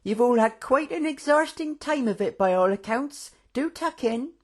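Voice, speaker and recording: A reader putting on a high fussy hostess. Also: expressive, normal speed. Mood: hospitable. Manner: fussy, chatty. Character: motherly hostess, fussing and hospitable. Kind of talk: audiobook character voice.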